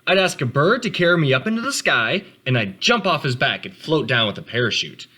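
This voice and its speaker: A man reading as an eager, lively little boy turtle. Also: medium pitch, fairly quick. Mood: excited. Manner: eager, words tumbling out. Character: excitable young boy turtle, dreamy and eager.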